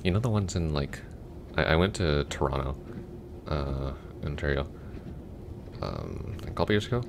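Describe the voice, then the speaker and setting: Man playing a chatty affectionate boyfriend, bottomless low pitch, vocal fry, hesitant goofy rambling, casual roleplay audio. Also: sing-song, moderate pace, noisy background, full character voice. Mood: chatty, mildly exasperated. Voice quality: very deep, gravelly.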